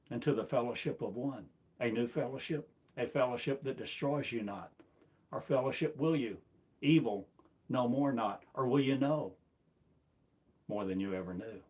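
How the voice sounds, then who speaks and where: Man, unhurried preaching, mid-range voice, rhetorical questions, repetitive incantation, self-recorded sermon. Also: mid-range voice, darkish tone. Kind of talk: rambling spoken sermon.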